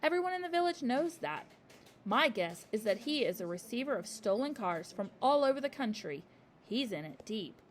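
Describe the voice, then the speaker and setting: A woman reading a storybook aloud and voicing a gentle young teacher who speaks softly and calmly, over a faint hiss. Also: on the high side, normal speed. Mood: explaining. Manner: soft, calm, tender.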